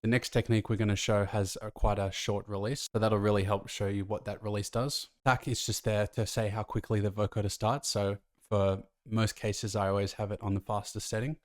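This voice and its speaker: A man's voice: on the low side, level tone, a little fast, few pauses. A man casually explaining music production as a tutor, talking through each step of a tutorial. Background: faint music from the track.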